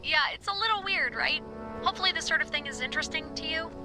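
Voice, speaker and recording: A woman's voice: sits high, fast, loud music behind the voice. A woman acting a friendly, chatty environmental researcher giving a briefing by walkie-talkie in a video game. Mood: friendly, upbeat. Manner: chatty, rambling, upbeat. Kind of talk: naturalistic video game dialogue.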